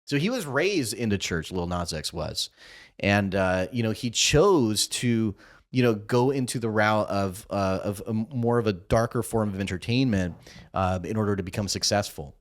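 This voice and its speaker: A young man hosts a loose podcast conversation, his pitch swinging up and down as he talks. He rambles through fillers and slips into teasing, off-color jokes. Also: animated, moderate pace. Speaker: podcast host. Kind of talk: casual chat.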